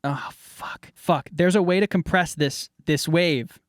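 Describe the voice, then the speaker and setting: Profane young producer chatting casually. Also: male voice, fairly high pitch, dry recording, studio quality. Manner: loose, profane, quick asides. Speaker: music producer. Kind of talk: casual studio chat.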